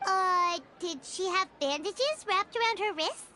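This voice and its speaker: A woman's voice: animated, a little slow, background noise. A woman voicing a tiny chirpy fairy companion girl who talks about herself in the third person, with game music behind. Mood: sly. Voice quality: high, squeaky and bubbly. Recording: game audio with loud background music.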